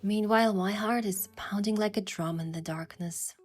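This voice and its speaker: A woman playing a sincere, easily flustered young heroine, narrating and speaking in a romance story with soft expression. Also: mid-range voice, normal speed, natural voice. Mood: tender narration. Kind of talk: first-person narration and dialogue in a romance story. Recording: music behind the voice.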